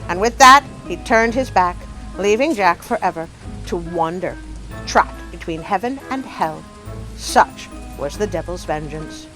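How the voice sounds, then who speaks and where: A woman storyteller and channel host with an expressive, dramatic delivery, reading scripted stories for children. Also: on the low side, a little slow, faint music behind, rough recording.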